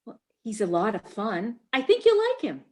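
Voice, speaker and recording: Woman acting a brisk, animated matchmaking friend. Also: medium pitch. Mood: brisk, upbeat. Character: brisk, reassuring matchmaking friend, wife of a couple. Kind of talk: comedy readers theater.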